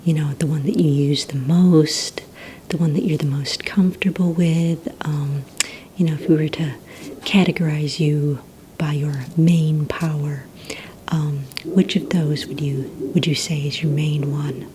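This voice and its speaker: A woman whispers very slowly in a soft, mellow, low voice, playing a courteous receptionist in an ASMR roleplay. She asks her questions gently and a little hesitantly. A faint rumbling noise sits behind her. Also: steady tone. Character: courteous female villain recruitment receptionist.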